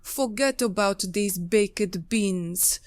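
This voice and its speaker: A woman acts as a mysterious fairy seductress in an audio drama. She speaks very slowly and deliberately in a medium-pitched voice, luring the listener with hypnotic poise. The sound is close and dry. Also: clean tone, dry recording, close to an everyday voice. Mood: hypnotic, beguiling. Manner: slow, deliberate, hypnotic, enticing. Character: mysterious seductive fairy temptress from a foreign land. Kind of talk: audio play acting.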